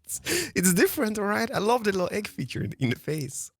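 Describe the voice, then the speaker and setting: A man with a wildly swooping, animated voice, recorded dry. He chats casually with another player in an excitable, giggly way and tends to repeat his words. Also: high-pitched, fast talker, gravelly, dry recording. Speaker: guest fellow player. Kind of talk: casual chat.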